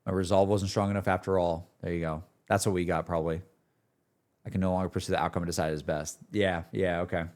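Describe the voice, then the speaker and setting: A man's voice: deep voice, level tone, fast, darkish tone. A man streaming casual, slangy, exclamatory reactions to a game as he talks to his viewers.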